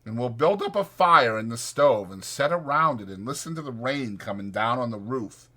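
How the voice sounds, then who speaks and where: A man voicing a sharp, irritable but protective ranch drifter, brisk, insistent and colloquial, in an audiobook. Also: mid-range voice, animated. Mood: dreamy, animated.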